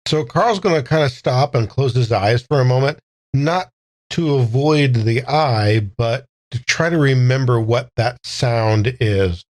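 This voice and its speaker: Male tabletop player, mid-range voice, thoughtful, halting, careful wording. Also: mid-range voice, a little mellow. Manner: halting, careful word choice. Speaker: player in a tabletop game podcast. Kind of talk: thoughtful improvised game-table talk.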